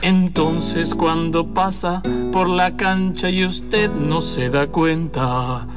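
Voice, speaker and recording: A calm, deliberate psychologist, acted by a woman in improvised radio comedy. The voice is lowish and very unhurried, probing and at times half-sung, with loud guitar playing underneath. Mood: crooning, reassuring. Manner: slow, deliberate, probing, sometimes half-singing. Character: calm, solemn psychologist doctor who croons advice. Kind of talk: improvised comic radio play.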